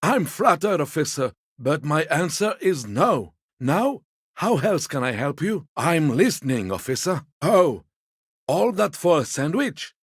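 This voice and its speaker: An actor playing a fussy, timid old gourmand gentleman in a video game, a husky voice and a polite, hesitant, slightly pompous manner. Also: on the high side, sing-song, unhurried, dry recording, clean studio sound, exaggerated voice. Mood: politely evasive. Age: older. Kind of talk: video game character dialogue.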